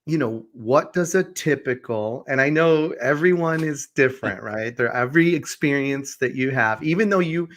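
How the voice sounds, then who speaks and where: A man's voice: medium pitch, normal speed, few pauses, fairly bright tone. A man hosting a conversational interview, affirming his guest and repeating phrases as he works toward his questions. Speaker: podcast host.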